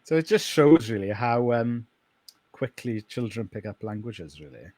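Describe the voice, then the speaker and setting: A man from Wales with a North Welsh accent, Welsh his first language, a pastor speaking slowly and with many pauses in a podcast interview. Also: medium pitch, animated, a little mellow. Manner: unhurried, hesitant with many ums.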